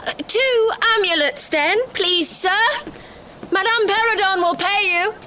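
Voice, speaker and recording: An actress playing a gentle, impressionable well-bred young lady in a gothic audio drama, polite and earnest. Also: very high voice, unhurried, a little hiss or hum, full character voice, rough recording. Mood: eager, polite.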